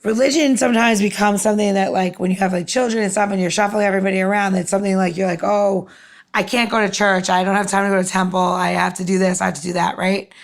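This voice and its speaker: A woman's voice: mid-range voice, fairly even intonation, fast. A woman co-hosting a podcast, asking questions casually in rambling, circling phrases. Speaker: podcast co-host.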